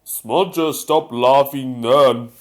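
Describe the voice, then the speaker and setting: A man's voice: mid-range voice, slow, voice pushed up, close to an everyday voice. A man voicing a proud, stern old steam engine in a storybook, with a Welsh accent and a pompous, scolding manner. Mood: grave. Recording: music behind the voice.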